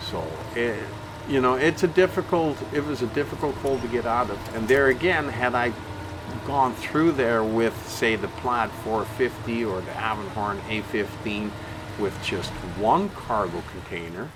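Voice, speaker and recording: A man's voice: mid-range voice, moderate pace, noisy background. A man giving casual gameplay commentary, explaining things in a folksy way and often repeating himself. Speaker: gaming channel host.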